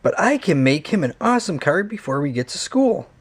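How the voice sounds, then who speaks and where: A man's voice: fairly high pitch, sing-song, a little fast, slightly rough. A man voicing an earnest, easily worried young male cat from a children's storybook, expressively. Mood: eager.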